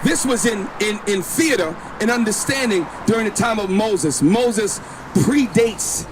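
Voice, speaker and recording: A man preaching and teaching scripture outdoors on a city street, talking forcefully and insistently and often repeating himself. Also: sits high, animated, measured pace. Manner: forceful, insistent, repeats himself, tells the reader when to read. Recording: noisy outdoor street recording. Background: street noise.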